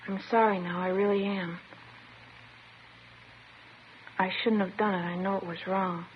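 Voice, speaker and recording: A woman's voice: medium pitch, little pitch movement, brisk, natural voice. A woman playing a disturbed teenage girl in a 1950s radio drama, plaintive and childlike, matter-of-fact and wistful. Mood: pleading, childlike. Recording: dull, noisy old radio recording.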